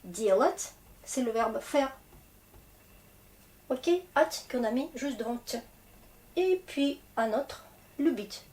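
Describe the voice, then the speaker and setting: A woman with a Russian accent teaches at an unhurried pace. Her voice sits a little high, and she sounds stuffed up from a cold. She talks patiently and repeats things carefully for learners. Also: expressive, a little mellow. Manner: slow, patient, repeats and spells out endings. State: has a cold. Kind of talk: slow, friendly language lesson.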